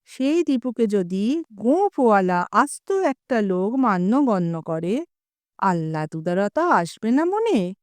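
A woman narrating a comic story in a measured, expressive reading style, recorded close to the mic. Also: mid-range voice, no echo.

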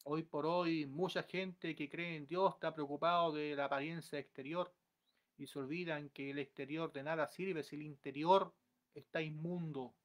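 A man in his thirties preaching an earnest, exhorting sermon to a webcam in a small room at home, building to forceful pleas. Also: fairly high pitch, steady tone, normal speed. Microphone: webcam at close range.

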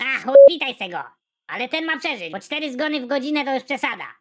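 The narrator voicing a grumpy, bossy male prison guard in an animated comedy, barking orders in an irritable tone. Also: sits high, quick pace, voice pushed up.

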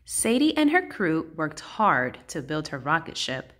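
A woman reading a rhyming children's picture book aloud in a measured, sing-song, expressive way. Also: deep voice.

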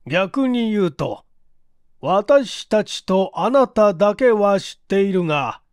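A man's voice: high-pitched, sing-song, clear, resonant tone, dry recording, studio quality, exaggerated voice. A man voicing a shrewd, self-assured police chief who questions politely but emphatically, in a story reading. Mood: pressing, insistent. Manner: deliberate, emphatic, leading questioning. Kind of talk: acted dialogue in story reading.

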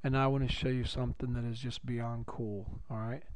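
A man with a raspy voice teaching a geometry lesson, upbeat and chatty, repeating key phrases for his students. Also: medium pitch, little pitch movement, brisk, no room sound. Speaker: math teacher. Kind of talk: recorded classroom lecture.

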